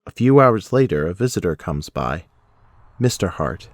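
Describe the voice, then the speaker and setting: A man's voice: low voice, big pitch swings, normal speed, no echo. A man narrating a scripted audio drama as its storyteller.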